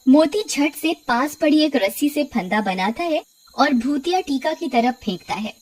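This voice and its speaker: A woman's voice: sits high, lively intonation, a little slow, a little mellow. A woman narrating a scripted cartoon horror story.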